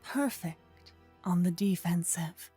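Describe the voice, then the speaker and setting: A woman narrating a scripted second-person fantasy story in a soft, whispery ASMR style with measured delivery. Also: on the low side, over music. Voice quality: breathy, whispery. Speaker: narrator and voice actor.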